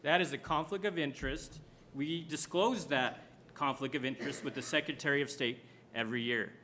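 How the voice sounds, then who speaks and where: A man's voice: medium pitch, a little fast, bright, forceful tone, a little hiss or hum. A man answering as a school board candidate, pressing his case with pointed rhetorical questions. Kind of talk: forum answer, argumentative.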